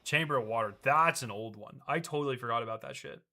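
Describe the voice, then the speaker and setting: A man's voice: mid-range voice, fast talker, sharp tone. A man in his late twenties chatting casually on a live stream, rambling and swearing as he reads and answers chat. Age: late 20s.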